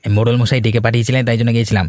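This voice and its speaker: A man's voice: mid-range voice, little pitch movement, rapid-fire, a little mellow, no room sound, lightly acted. A man voicing an obliging, henpecked village farmer in a cartoon story, folksy and a little grumbling. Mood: explaining. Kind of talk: Bengali cartoon story voice acting.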